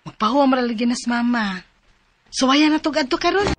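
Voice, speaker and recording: Determined wife with business plans. High voice, Cebuano accent, radio drama delivery. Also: female voice, lively intonation, fast talker, over loud music. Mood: persuasive. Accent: Cebuano Filipino.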